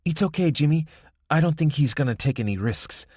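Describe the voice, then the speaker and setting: A man's voice: fairly high pitch, lively intonation, brisk, darkish tone, slightly rough, no room sound, clean studio sound, pitched above the performer's own voice. A man doing a cocky young Spanish-accented woman. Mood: taunting. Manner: mocking, self-assured. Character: tough young woman gang lookout, confident.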